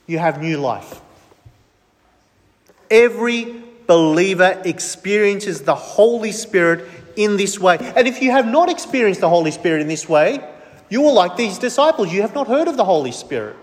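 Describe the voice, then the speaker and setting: A man's voice: high-pitched, lively intonation, normal speed, long reverb tail. A man preaching a sermon with emphasis, firing questions at the congregation and answering them himself.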